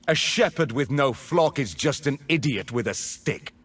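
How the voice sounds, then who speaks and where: A man playing a defiant rebel mage leader in a video game, speaking theatrically with a rough, husky voice. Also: mid-range voice, lively intonation, a bit bright. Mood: scornful. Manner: slow, deliberate, theatrical. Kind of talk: video game character voice lines.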